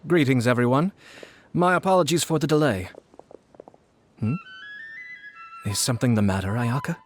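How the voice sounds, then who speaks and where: A man playing a courteous, composed and formal gentleman in an anime video game. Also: medium pitch, normal speed, soft background music, natural voice.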